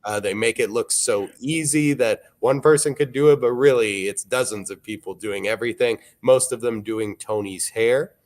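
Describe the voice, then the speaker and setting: A man, hosting a live auction broadcast in a relaxed, wry, informative way. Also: mid-range voice, normal speed, few pauses. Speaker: auction show host.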